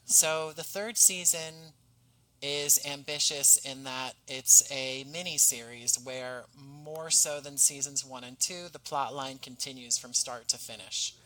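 Guy guest on a podcast, bright crisp-edged voice on the higher side, polite and well spoken. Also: steady tone, rough recording. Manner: articulate, measured, courteous. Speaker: podcast guest, playwright and writer. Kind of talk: conversational interview.

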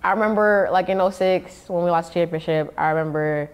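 A woman in her thirties, a podcast guest telling lively slang-filled stories in casual chat and laughing often. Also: on the low side, brisk, smooth. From Nigeria.

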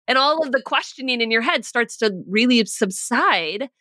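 A woman coaching a client with a bright, crisp voice, recorded dry and close. She explains things animatedly, often voicing the mind's nagging questions aloud. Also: on the high side, animated, normal speed, no room sound. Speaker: life coach. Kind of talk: coaching conversation.

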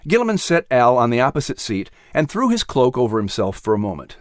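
Audiobook narrator, scripted storytelling, lively pitch movement, medium pitch, dry recording. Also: brisk, no echo.

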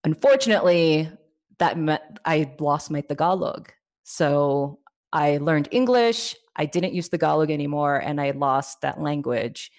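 Low-voiced woman telling her story. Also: measured pace. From California, USA. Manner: warm storytelling with frequent laughs and fillers. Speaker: podcast guest, life coach. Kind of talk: casual interview conversation.

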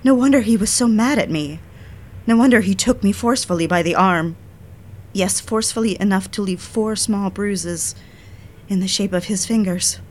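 A woman's voice: mid-range voice, normal speed, close to an everyday voice. A woman acting an audio drama as a defiant, indignant independent woman, with an emotional, emphatic delivery. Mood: wounded, reflective.

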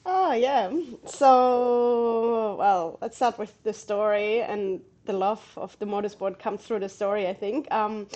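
German woman guest, accent, lots of ums, relaxed dark tone. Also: medium pitch, slow, few pauses, highs cut off. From southern Germany. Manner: hesitant, many ums while searching for words. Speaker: guest race engineer. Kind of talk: casual interview answer.

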